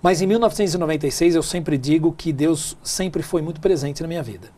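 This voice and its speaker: Middle aged guy from sao paulo w local brazilian accent, voice a bit high, chatting thru his story as a guest. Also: fairly quick, mellow. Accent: São Paulo Brazilian. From São Paulo, Brazil. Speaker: interview guest, tech speaker and businessman. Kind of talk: conversational interview answers, storytelling.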